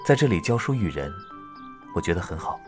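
A man playing a gentle, caring young village teacher of ancient China in a period romance audio drama, tender, patient and unhurried. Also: low-pitched, big pitch swings, over music. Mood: calm.

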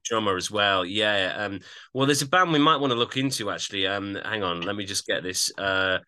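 A man's voice: on the low side, a little fast, sharp tone, smooth. A man co-hosting a podcast, chatting casually about music in an agreeable way with short repeated affirmations. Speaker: podcast co-host.

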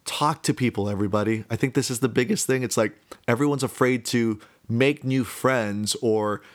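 A man's voice: mid-range voice, moderate pace. A young man from talks casually about himself, thinking aloud in a rambling, hesitant way. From Miami, United States. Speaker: speaker telling about own life. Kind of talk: casual personal monologue.

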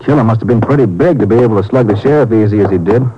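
Casting: actor as lowish-voiced ranger sidekick, matter-of-fact and folksy, vintage radio play, noisy and dull. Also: male voice, racing, lightly acted. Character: plain-spoken, observant male Texas Ranger partner. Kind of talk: 1950s radio drama acting.

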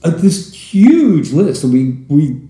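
Deep-voiced American man, very dark tone. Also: takes it slowly, echoey room. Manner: storytelling, animated asides. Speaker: podcast guest. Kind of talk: casual podcast chat.

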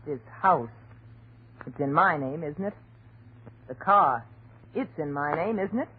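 A woman's voice: lively intonation, unhurried, breathy edge, full character voice. A woman playing a spiteful, melodramatic wife who turns hysterical in a 1940s radio horror play. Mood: cold, spiteful. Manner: taunting, melodramatic, shrill when alarmed. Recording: muffled, faintly noisy old radio recording.

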